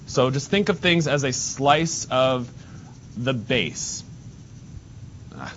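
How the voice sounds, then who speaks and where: A man's voice: animated, slow pace, a bit bright. A male math teacher with a nasal voice, teaching a class in a casual way and talking to students while he works at the board. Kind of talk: classroom lesson. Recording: dull lo-fi sound, faint rumble.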